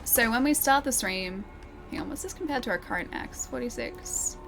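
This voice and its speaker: A woman streamer chatting and laughing with her viewers as she plays a game, her voice a little croaky. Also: on the high side, animated, normal speed. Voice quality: voice a bit croaky early in stream. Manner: chatty, giggly, talks to chat while playing. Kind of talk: live gameplay commentary. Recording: game soundtrack playing behind. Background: game music.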